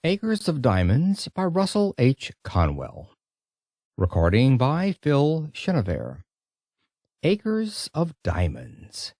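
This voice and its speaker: A man narrating an audiobook as an expressive first-person storyteller. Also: mid-range voice, slow pace, dry recording, studio quality.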